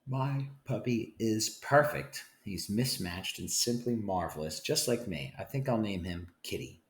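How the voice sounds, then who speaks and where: A man's voice: lowish voice, lively intonation, unhurried. A man reading a children's story aloud as a teacher would to a class. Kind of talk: children's story read-aloud in English and Spanish.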